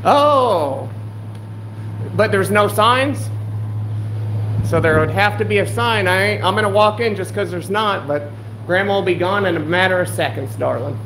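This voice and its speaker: A meddling old grandma, as a man puts her on, sweet-talking everyone as darling and calling herself Grandma. The voice sits high for a man and crackles with gravelly fry. It is caught on a handheld phone inside a public building. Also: normal speed, fairly bright tone. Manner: syrupy, calls everyone darling, talks of self as Grandma. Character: meddling sugary old grandma, elderly woman. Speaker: livestreamer filming public buildings. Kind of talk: trolling livestream banter. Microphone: handheld phone camera.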